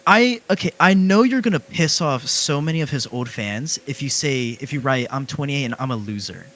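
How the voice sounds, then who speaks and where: A man's voice: mid-range voice, expressive, moderate pace, fairly bright tone. A young man in casual conversation, slangy and emphatic in how he makes his points. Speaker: streamer's guest on a call, fellow YouTuber. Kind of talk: casual chat.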